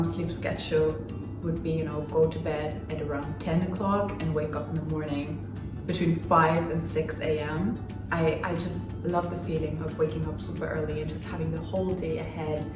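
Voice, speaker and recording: Twenties woman, chatty, filler words, low-ish voice, echoey room, distant mic, music under. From Finland, raised in Germany. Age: 20s. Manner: chatty, many fillers and little laughs. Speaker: vlogger. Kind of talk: casual get-to-know-me Q&A. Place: home room.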